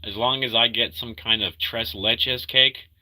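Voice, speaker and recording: Bright-voiced man rambling on a livestream. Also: mid-range voice, fairly even intonation, low-quality recording. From Argentina. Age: 30s. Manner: rambling, halting, full of fillers and restarts; upbeat when greeting viewers. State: sick. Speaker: livestreamer reading chat. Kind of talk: casual live-stream chat.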